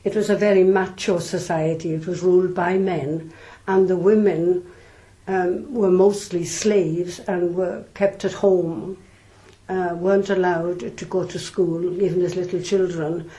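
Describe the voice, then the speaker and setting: An older woman from South Wales speaks, her voice sitting low and mellow. She answers in a measured, reflective way, pausing often to gather her thoughts. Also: steady tone, poor audio. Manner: measured, thoughtful, with frequent pauses and ums. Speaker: nun, interview guest.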